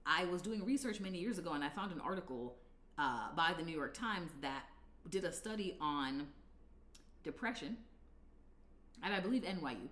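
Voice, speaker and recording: A deep-voiced woman in her thirties speaks candidly straight to her viewers, her pitch swinging widely as she explains. Her low voice rings a little in an echoey room, and she talks like a coach sharing her own story. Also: moderate pace, long pauses. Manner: candid, conversational, animated, punctuated with laughs. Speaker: coach and video creator. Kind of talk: direct-to-camera talk video.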